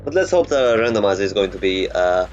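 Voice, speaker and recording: A man thinking aloud in a halting, muttering way while playing a video game. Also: lowish voice, very animated, dull audio, slight background noise. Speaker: gamer. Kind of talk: gameplay commentary. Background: game music.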